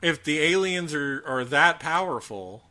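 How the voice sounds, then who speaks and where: A man hosting a podcast, chatting casually and comically, incredulous and often restarting his sentences. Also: a little high. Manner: animated, incredulous, halting restarts. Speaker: podcast host. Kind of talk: casual comedic chat about a bizarre news story.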